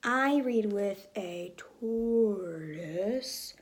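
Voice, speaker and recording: A woman teacher reading a picture book aloud in an expressive way, speaking to young children. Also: mid-range voice, very slow. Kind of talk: children's story read-aloud. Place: room at home with reading chair.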